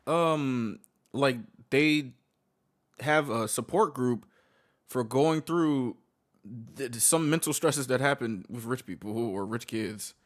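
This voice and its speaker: A man's voice: medium pitch, slow. A man reacting to a video in casual chat, slangy and swearing often.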